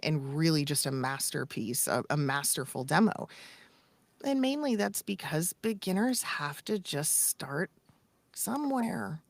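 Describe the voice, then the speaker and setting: A woman coaching in casual talk, emphatic and expressive, acting out imagined quotes. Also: low voice, no room sound. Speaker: voice-over coach and podcast co-host.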